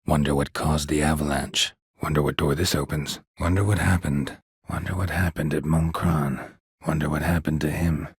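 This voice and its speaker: A gruff, world-weary monster hunter from a fantasy video game, with a deep and extremely husky, gravelly voice. He speaks in clipped, deadpan phrases. The recording is dry and close. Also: moderate pace, no room sound, full character voice. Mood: terse, stoic. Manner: terse, deadpan, clipped sentences.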